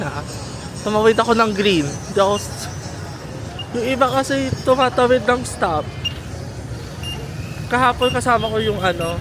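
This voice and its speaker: A woman with a Filipino accent chatting casually and playfully in short remarks, as a travel vlogger. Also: medium pitch. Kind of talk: casual vlog chat mixing Tagalog and English. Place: out and about in eateries and city streets. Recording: background music, crowd and street noise.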